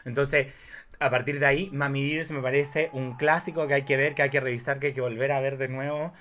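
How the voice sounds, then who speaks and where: A man is a guest in a casual film chat. His delivery is effusive and campy, at an ordinary middle pitch for a man. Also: fairly even intonation, fairly quick, fairly bright tone. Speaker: podcast guest.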